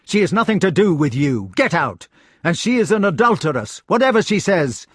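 A man's voice: sits high, sing-song, normal speed, slightly rough, no echo, studio quality, pitched above the performer's own voice, heavily acted. Man acting a blustering, jealous knight, raised and belligerent. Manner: shouting, belligerent, scornful. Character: blustering, jealous, treacherous male knight, a bullying husband. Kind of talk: audiobook character voice.

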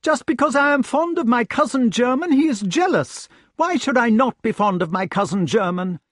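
A man's voice: extremely high pitch, lively intonation, moderate pace, fairly bright tone, no room sound, clean studio sound, pitched above the performer's own voice, exaggerated voice. A man voicing a wailing, indignant married noblewoman who pleads melodramatically in an audiobook. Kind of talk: audiobook character voice.

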